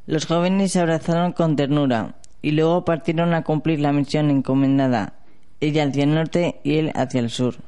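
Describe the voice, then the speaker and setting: A man's voice: fairly high pitch, fairly even intonation, normal speed, mellow, clear, resonant tone. A man narrating a legend in an amateur radio play, reading aloud steadily. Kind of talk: read storybook narration.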